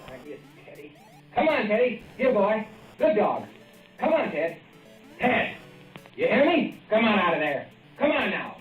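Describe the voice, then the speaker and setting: An actor playing an excitable rural young man who raises a giant fly, in a folksy drawl that swings from hollering to hushed murmurs, in a 1940s radio play. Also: sits high, unhurried, pauses often. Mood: coaxing. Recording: muffled old radio broadcast, faint music.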